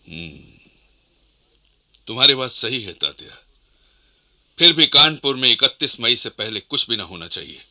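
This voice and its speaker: An actor playing a commanding, deliberate rebel leader and strategist in a historical radio play. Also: male voice, medium pitch, sing-song, sharp tone, heavily acted. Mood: measured, commanding. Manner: deliberate, authoritative.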